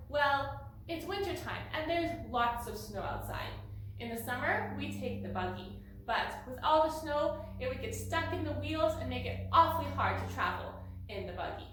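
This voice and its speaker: Casting: woman as a bright-voiced, folksy 1890s farm girl, high pitch, heard from a distance in a roomy barn. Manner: folksy, chatty, addresses listeners as folks, frequent sighs. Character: chatty young pioneer girl from 1890 farm family. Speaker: museum costumed interpreter. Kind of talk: living-history monologue to the camera. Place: large barn. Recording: echoey, distant mic, faint noise.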